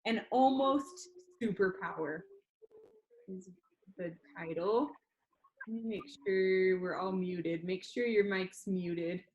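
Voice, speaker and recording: A woman's voice: mid-range voice, takes it slowly, a little mellow, echoey room, highs cut off, slight background noise. A woman teacher speaking informally and expressively to an online class, as when reading a story aloud. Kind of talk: classroom read-aloud, first-person storytelling. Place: indoor room, online class call.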